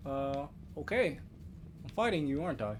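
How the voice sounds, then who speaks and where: A man's voice: fairly high pitch, big pitch swings, normal speed, background noise. A man livestreaming a video game, giving casual commentary and thinking aloud as he plays. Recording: loud game music behind the voice. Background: game music.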